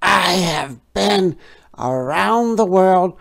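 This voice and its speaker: Reader putting on a boastful worldly frog for a kids book, raised pitch, big slow emphatic words. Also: lively intonation, vocal fry, fairly bright tone, full character voice. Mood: mysterious, wondrous. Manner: triumphant, mysterious, drawn-out emphatic delivery. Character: proud boastful young tadpole turned worldly frog. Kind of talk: children's storybook character voice.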